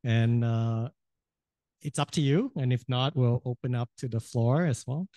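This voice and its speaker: A man moderating a panel discussion, soft-spoken and halting, often repeating words as he speaks. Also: medium pitch, no room sound, clean studio sound.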